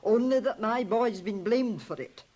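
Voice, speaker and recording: A woman's voice: fairly high pitch, a little slow, darkish tone, highs cut off. An actress playing an anxious, respectful middle-aged mother with an English accent, earnest and emotional in a 1950s radio drama. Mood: bitter, earnest.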